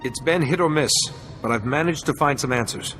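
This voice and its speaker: An actor playing a resolute young male Jedi apprentice in a video game, speaking in a measured, earnest way. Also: fairly high pitch, a bit bright, faint music behind, lightly acted. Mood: composed.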